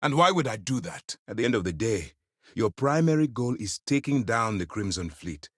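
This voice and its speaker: A man playing a stern, principled space navy commander in a video game, speaking in a formal, measured, authoritative way. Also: medium pitch, very animated, a bit bright, breathy edge, dry recording, clean studio sound. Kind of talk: scripted game dialogue.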